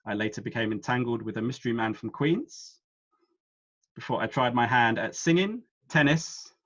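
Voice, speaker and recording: A man hosting a quiz over a video call, reading each clue slowly and then again. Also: medium pitch, fairly bright tone, dull audio. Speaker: quiz host.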